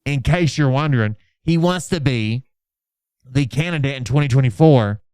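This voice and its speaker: Casting: male livestream host, low-key dry acoustic, smooth voice, mocking and sardonic commentary. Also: normal speed, darkish tone, no room sound. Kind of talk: sarcastic reaction commentary with mock-dramatic readings.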